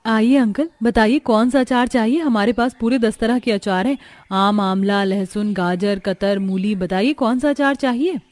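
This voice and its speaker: Woman as an expressive young village daughter-in-law. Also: fairly high pitch, normal speed, natural voice. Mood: eager. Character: hardworking, excitable young village daughters-in-law selling pickles. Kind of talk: Hindi cartoon story dialogue.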